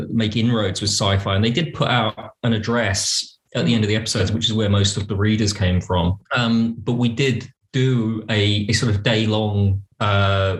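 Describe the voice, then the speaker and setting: A man's voice: fairly low pitch, roomy sound, poor audio. A British man speaking conversationally as an interview guest on a podcast.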